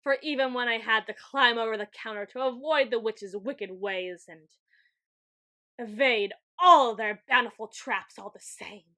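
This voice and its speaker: A woman's voice: on the high side, sharp tone. A woman playing a grandiose, weakened overlord of shadows in an ASMR roleplay, with pompous, flowery, melodramatic delivery. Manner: pompous, archaic flowery phrasing. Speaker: ASMR roleplay audio performer. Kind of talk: ASMR roleplay, theatrical scripted monologue.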